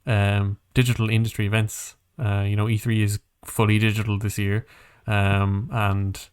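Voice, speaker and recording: A man's voice: lowish voice, level tone, moderate pace, clear, resonant tone. A man hosting a casual podcast about games and films, thinking aloud in a hesitant, rambling way. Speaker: podcast host.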